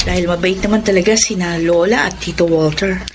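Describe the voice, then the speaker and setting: A woman's voice: on the low side, fairly even intonation, a little fast, over music, lightly acted. A woman acting a chatty, teasing young friend in a Filipino radio drama, with a Filipino accent. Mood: friendly.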